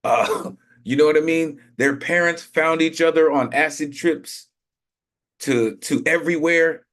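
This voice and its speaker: A man emceeing an online poetry open mic through a video call. He talks with loose, hyped energy, piling up slang, shout-outs and "you know what I mean" as he hands the floor to the next poet. His voice sits a little higher than most men's. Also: a little slow.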